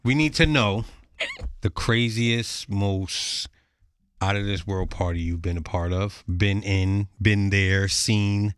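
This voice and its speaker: A man's voice: lowish voice, slow, clean tone, no echo, clean studio sound. A man co-hosting a casual podcast, asking questions and chiming in with agreement. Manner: relaxed, affirming backchannel. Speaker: podcast co-host.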